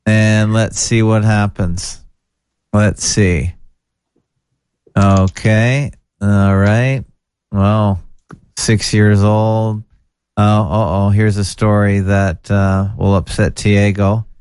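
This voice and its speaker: A man's voice: fairly low pitch, level tone, very slow, long pauses, a little mellow, clean tone, no room sound, studio quality. A man hosting a podcast with callers, chatting casually, joking and reading posts aloud from his screen. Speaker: podcast host. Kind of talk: casual call-in chat.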